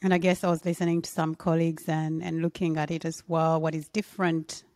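A woman interviewer with a lowish voice, recorded dry and close with no room sound. She leads the conversation easily, affirming her guest and filling pauses with small hesitations. Her questions come in a loose, conversational flow. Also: flat delivery, a little mellow, no echo. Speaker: interviewer, host.

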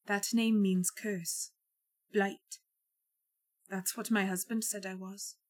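A woman's voice: mid-range voice, little pitch movement, slow. A woman storyteller voicing a headstrong, defiant noblewoman huntress, commanding and dramatic. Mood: quiet realisation.

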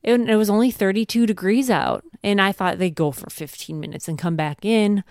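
A woman's voice: mid-range voice, normal speed, a little mellow. A woman, a podcast co-host, chatting casually and encouragingly as she shares advice and anecdotes from home life. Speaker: podcast co-host, homeschooling mother.

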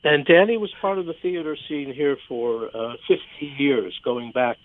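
A man's voice: a little high, normal speed. An American man speaking as a theater critic in a conversational radio discussion. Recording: slightly dull, band-limited radio sound.

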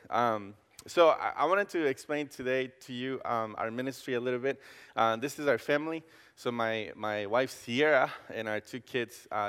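Guest pastor, man in his 30s, Costa Rican accent, relaxed joking delivery with lots of hesitations, reverberant church hall. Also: medium pitch, clear, resonant tone. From Costa Rica. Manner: friendly and joking, frequent hesitations. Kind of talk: casual sermon. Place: church sanctuary.

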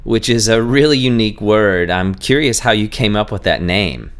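A man's voice: lowish voice, animated, moderate pace. A man hosting a podcast interview, talking in an earnest, enthusiastic and rambling way. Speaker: podcast host. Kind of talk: casual interview chat.

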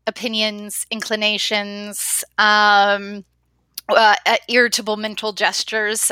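A woman's voice: on the high side, pushed and bright, no echo. A woman hosting a podcast interview, chatty and enthusiastic with playful asides. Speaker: podcast host. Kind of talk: casual interview chat.

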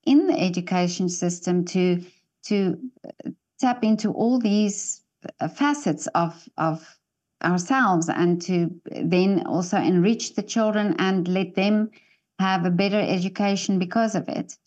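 A woman from South Africa hosting an interview, speaking hesitantly with many pauses and restarts. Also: medium pitch, a little mellow. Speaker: interview host. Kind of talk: interview conversation.

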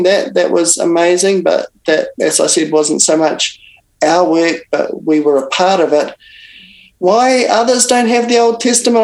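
An older man, a Bible translator, speaking as an interview guest and recounting events in an unhurried way with frequent restarts and pauses. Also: sits high, darkish tone, poor audio. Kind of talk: reflective interview storytelling.

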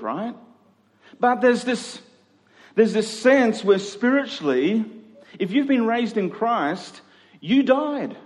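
A man preaching a sermon as a pastor, swinging between emphatic and hushed delivery with rhetorical questions. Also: a little high. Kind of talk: sermon to a congregation. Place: large reverberant church hall. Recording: long hall reverb.

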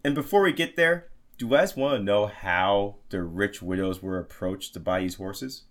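A man's voice: lowish voice, moderate pace. A man hosting a podcast, telling a crime story in a crude, jokey, rambling way. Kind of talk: casual comedic storytelling.

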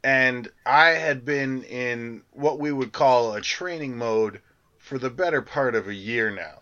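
A man rambles through a crude story, swearing freely with halting restarts, as a co-host of a casual podcast. His voice sits at an ordinary pitch. The recording is dull and lo-fi. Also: sharp tone. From Canada. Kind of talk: casual chat.

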